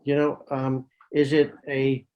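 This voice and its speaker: A man's voice: mid-range voice, completely flat delivery, slow, muffled recording. A psychiatrist, a man, answering questions thoughtfully over a video call, often repeating words as he thinks. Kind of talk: answering questions in an online talk.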